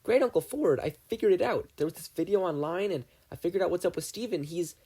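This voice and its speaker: A man voicing an anxious, excitable young teenage boy in an audiobook reading, urgent and pleading. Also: sits high, fast, slightly rough, pitched above the performer's own voice. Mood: excited. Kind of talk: fan fiction audiobook reading.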